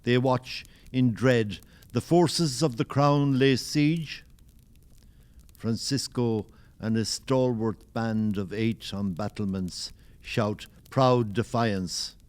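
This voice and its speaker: A man playing the solemn narrator of a historical audio play, with grave, measured dramatic delivery. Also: medium pitch, darkish tone, natural voice.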